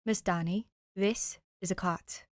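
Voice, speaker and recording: A woman voicing a long-suffering English butler in an audiobook, posh, calm, formal and deadpan. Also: mid-range voice, a little slow, no room sound, studio quality, natural voice. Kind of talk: audiobook character voice, fan fiction reading.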